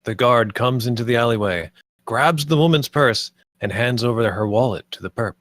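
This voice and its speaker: A man's voice: medium pitch, normal speed, fairly bright tone, no room sound. A man reading stage directions as scripted narration at a screenplay table read.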